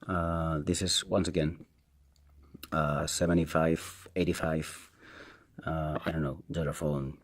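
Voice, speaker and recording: A man with a Spanish accent and Spanish as his first language, giving a casual, unscripted product review in a halting, hesitant way and repeating himself. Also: rumbling low, flat delivery. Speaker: tech reviewer, video host.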